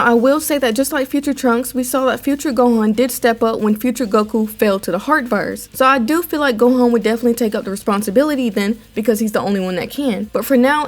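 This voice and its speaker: A young woman hosting an opinion video as a voice-over, talking in a measured, conversational, explanatory way. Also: fairly high pitch. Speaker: anime commentary video host.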